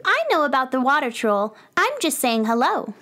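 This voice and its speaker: A woman's voice: sits high, sing-song, moderate pace, pushed and bright, full character voice. A woman playing a plucky, polite, bookish little girl in a children's audio drama. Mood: cheerful, matter-of-fact.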